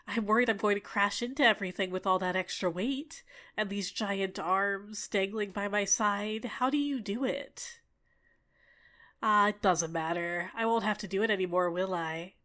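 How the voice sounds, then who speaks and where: Girl doing a clingy yandere fairy for asmr roleplay, mid pitch, gushy n coy, sweet but kinda menacing, chatty. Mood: disgusted, then relieved. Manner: excitable, gushing, coy, chatty. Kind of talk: ASMR roleplay, scripted character acting.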